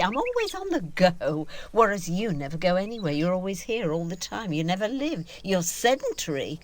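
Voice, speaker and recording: Woman playing a vain, theatrical actress, swooping delivery. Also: mid-range voice. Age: middle-aged. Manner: grand, emphatic, self-satisfied. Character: vain, domineering middle-aged actress, proud and theatrical. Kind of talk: audio drama acting.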